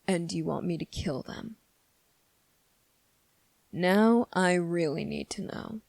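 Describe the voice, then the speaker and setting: A sardonic demon, played by an ASMR performer, speaks in a slow, gravelly drawl. The delivery is deliberate and condescending, with menacing pauses. The sound is dry and intimate, as in an audio roleplay. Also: mid-range voice, darkish tone, dry recording. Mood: cold, probing. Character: sardonic, menacing demon weighing a summoner's revenge plea. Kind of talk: dramatic ASMR roleplay monologue.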